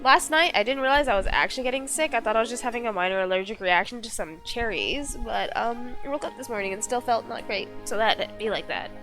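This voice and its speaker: A woman's voice: a little high, fast, hardly any pauses, a bit bright, soft background music, rough recording. Congested woman chatting on a live stream. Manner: chatty, rambling, many fillers. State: congested, slight cold. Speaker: streamer playing a visual novel. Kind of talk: casual live-stream chat.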